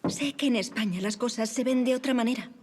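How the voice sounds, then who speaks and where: Woman acting high-voiced, playful young marquise. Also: fast, slight background noise, close to an everyday voice. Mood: teasing. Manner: poised, playful, teasing. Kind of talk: audio drama acting.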